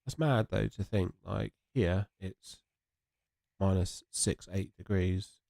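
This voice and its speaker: A man's voice: low-pitched, takes it slowly, vocal fry, mellow, no echo, clean studio sound. A young man with a congested, sniffly voice, rambling casually with viewers while streaming a game. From England. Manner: rambling and chatty, answering viewers. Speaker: gaming streamer. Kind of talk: casual livestream chat while playing.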